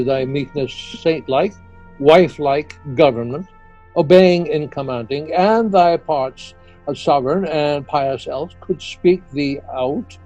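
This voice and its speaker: Lordly, self-assured king played by a man: higher, mellow voice, emphatic amateur verse reading, muffled call with music. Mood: fond admiration. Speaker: amateur actor in an online play reading. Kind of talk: amateur Shakespeare read-aloud. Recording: dull online call with music.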